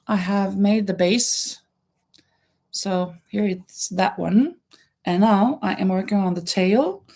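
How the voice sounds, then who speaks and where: A woman's voice: medium pitch, gravelly, darkish tone. A Norwegian woman with a Norwegian accent, chatting casually to viewers as the host of a livestream. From Norway. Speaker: live stream host, crocheter.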